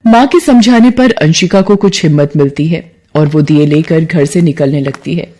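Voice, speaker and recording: A woman's voice: deep voice, moderate pace, dark tone. A woman narrating a scripted moral story as a storyteller.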